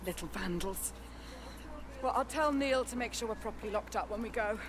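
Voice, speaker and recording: A woman's voice: on the high side, normal speed, natural voice. Casting: actress as no-nonsense English mother, everyday delivery, very noisy outdoor scene. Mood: matter-of-fact. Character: practical mother in an English village. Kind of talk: naturalistic video game voice acting.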